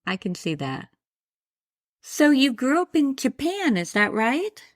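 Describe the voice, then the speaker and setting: A woman's voice: high voice, sing-song, a little slow, no echo, studio quality. A woman hosting a casual interview, chatting with her guest and asking questions. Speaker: podcast host, interviewer.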